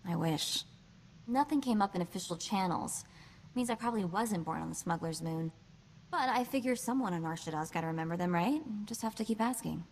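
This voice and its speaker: A woman's voice: lowish voice, expressive, brisk, a little mellow, slight background noise. A woman voicing a plucky, street-smart young slicer in a video game, wry and breezy, with clipped slangy talk. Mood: determined.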